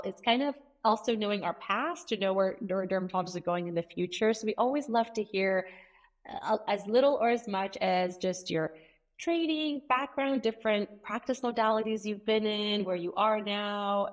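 Effusive woman podcast host. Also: mid-range voice. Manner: enthusiastic, effusive praise. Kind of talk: interview hosting.

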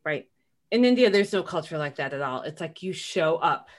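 A woman hosting a casual podcast conversation, talking in a warm, earnest way and asking follow-up questions. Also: sits low, animated, moderate pace. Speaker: podcast host.

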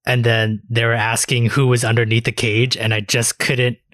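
A man's voice: mid-range voice, flat delivery, normal speed, fairly bright tone, no echo. A man co-hosting a podcast, chatting about a video game in an informal, sweary way. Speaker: podcast co-host.

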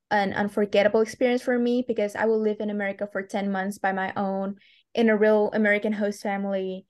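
A woman's voice: fairly high pitch, a little mellow. A young woman from Indonesia with an Indonesian accent, an exchange student answering interview questions casually. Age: teens to young adult.

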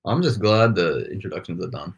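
A man's voice: sits low, lively intonation, brisk, breathy edge. A young man in his twenties co-hosting a casual podcast chat, rambling and self-deprecating, often trailing off mid-thought. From Nepal. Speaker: podcast co-host.

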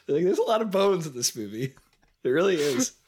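A man's voice: high-pitched, sing-song, fairly quick, darkish tone, breathy edge. American man with a cold, chatting casually. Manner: rambling, jokey, conversational. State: has a cold, losing voice. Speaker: podcast co-host. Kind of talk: casual film discussion.

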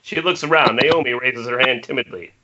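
Very bright, forceful male narrator. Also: mid-range voice, fairly quick. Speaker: stage-direction reader. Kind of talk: scripted narration, amateur screenplay table read. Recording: dull, noisy internet call with clicks.

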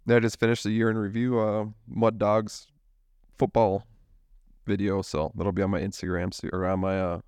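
Low-voiced man telling a rambling story. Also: flat delivery, normal speed, darkish tone, smooth, no echo. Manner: rambling storyteller, laughs often. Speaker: podcast co-host. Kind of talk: casual chat.

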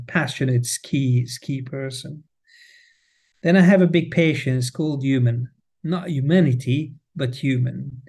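A middle-aged Swiss man whose first language is French talks English in an interview, his voice mellow and relaxed at an ordinary pitch. He often repeats a word while he searches for the next one. His French accent colours every line. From Switzerland. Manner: restarts and repeats words, passionate emphasis. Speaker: guest, cheese marketing head. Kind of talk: podcast interview. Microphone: remote, on the road.

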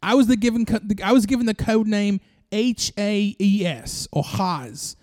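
A man's voice: high-pitched, normal speed, darkish tone, dry recording, studio quality. A man co-hosting a comedy podcast, riffing an absurd improvised story in a breezy mock-earnest tone and chuckling along. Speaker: podcast co-host.

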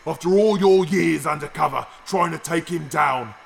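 Gravelly, bitter arms dealer. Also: male voice, fairly high pitch, moderate pace. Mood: mocking. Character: bitter, wary male underworld arms dealer, betrayed. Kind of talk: audio drama acting.

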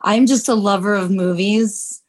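A woman's voice: mid-range voice, measured pace, a little mellow. Woman on a casual group podcast, mid-range voice, kinda gushy and sincere. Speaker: podcast team member and short film actor. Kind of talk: casual roundtable chat.

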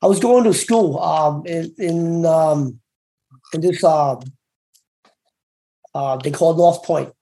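A middle-aged man from Brooklyn with a slight Cantonese-tinged New York accent, telling his story in an interview, halting and full of fillers and restarts. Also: fairly high pitch, creaky, mellow. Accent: Cantonese-tinged New York English. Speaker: interview guest, former gang member.

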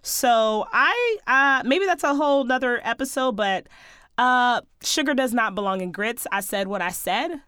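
Female podcast host, a touch hoarse, sassy and chatty, higher voice, dry close sound, faint music underneath. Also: lively intonation, a little slow, sharp tone, dry recording. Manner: sassy, chatty, playful asides to listeners. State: slightly hoarse, losing her voice. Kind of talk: casual solo podcast chat.

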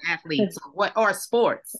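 A woman's voice: medium pitch, unhurried, a bit bright, breathy edge. A woman from Texas hosting a podcast interview, talking in an enthusiastic, chatty way with frequent fillers and restarts. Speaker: podcast host. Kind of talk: casual interview chat.

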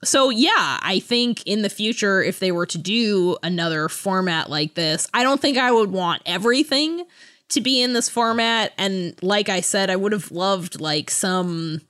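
A woman co-hosting a podcast, chatting casually in a giggly, halting way. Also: medium pitch, fairly bright tone. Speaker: podcast co-host. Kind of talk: casual book review chat.

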